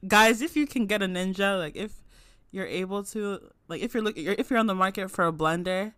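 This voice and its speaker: Chatty young man, bright-ish voice. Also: high-pitched, fairly quick, smooth, no echo. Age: young adult. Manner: chatty and enthusiastic, lots of "like" fillers. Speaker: podcast co-host. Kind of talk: casual chat. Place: at home.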